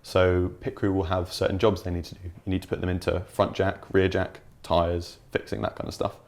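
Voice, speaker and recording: A man's voice: low-pitched, little pitch movement, fairly quick, a little mellow. A man chatting informally and enthusiastically as a game developer explaining features in an interview. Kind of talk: casual explanatory chat.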